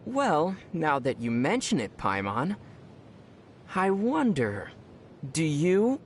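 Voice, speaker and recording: An actor playing a gentle, teasing young male adventurer in a video game, speaking in a measured, wry way. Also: fairly high pitch, huge pitch swings, slight background noise, exaggerated voice. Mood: conversational. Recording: music behind the voice.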